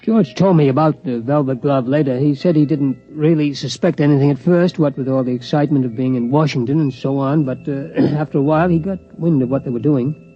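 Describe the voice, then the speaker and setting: A man's voice: a little high, brisk, hardly any pauses, close to an everyday voice. A man playing a storyteller who narrates a 1950s radio drama conversationally. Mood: matter-of-fact. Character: male storyteller recounting events in relaxed conversation. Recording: muffled old radio recording with music.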